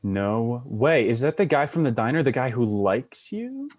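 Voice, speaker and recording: A man's voice: mid-range voice, sing-song, moderate pace, darkish tone. A man playing a nosy, matter-of-fact young friend at a comedy screenplay read. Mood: curious.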